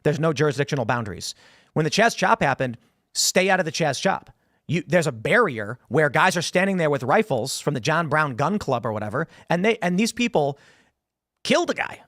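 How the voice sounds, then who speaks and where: Homem apresentador de podcast, voz seca. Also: male voice, fairly high pitch, brisk, no room sound, studio quality. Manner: animated storytelling with many restarts and gestures. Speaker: podcast host. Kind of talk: political talk show discussion. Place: studio. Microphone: studio mic on boom arm, headphones.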